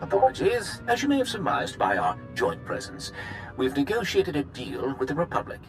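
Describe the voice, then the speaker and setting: An actor playing a smug, slippery male corporate executive who talks in a suave, sarcastic, drawn-out way. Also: on the high side, huge pitch swings. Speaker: corporate representative. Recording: game cutscene with music behind.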